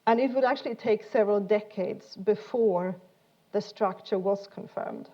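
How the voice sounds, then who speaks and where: A woman's voice: mid-range voice, normal speed, dark tone, dull audio. A woman giving an informal science lecture in an explanatory, hesitant way, often repeating words as she goes. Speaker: speaker giving a talk.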